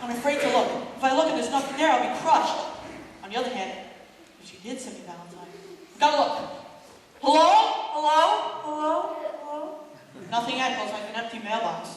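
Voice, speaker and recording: A woman's voice: sits high, animated, a little fast, pauses often. A woman playing a nervous, hapless young boy in a stage musical, singing and fretting aloud. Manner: nervous, self-pitying, rehearses his words aloud. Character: anxious, hapless young boy who is easily flustered. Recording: echoey hall, mic far from the stage. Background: loud musical accompaniment.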